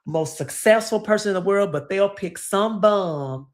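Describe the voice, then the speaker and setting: A podcast host asking questions in a casual interview, with lively, stammering starts. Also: mid-range voice, unhurried. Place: podcast studio.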